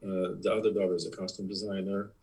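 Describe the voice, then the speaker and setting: A low, husky-voiced man in his sixties speaks English with a Polish accent. He talks haltingly as an interview guest, filling pauses with uh and restarting his sentences. His tone stays deep and slightly breathy throughout. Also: little pitch movement, dark tone. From Poland. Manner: halting, many fillers and restarts. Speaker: guest, production designer. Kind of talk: casual interview.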